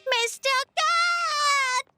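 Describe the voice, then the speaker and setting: A woman's voice: very high-pitched, very slow, over music, exaggerated voice. A woman voicing a sweet, emotional little girl devoted to her pet cat in a Hindi cartoon dub, earnest and dramatic. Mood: heartbroken.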